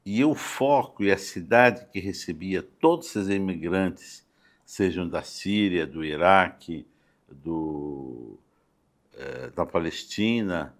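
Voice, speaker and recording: An older Brazilian man speaking as a guest in a conversational podcast interview, halting and often adding small check-in tags. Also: low-pitched. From Campo Grande, Brazil. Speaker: interview guest, doctor and city councillor. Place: podcast studio.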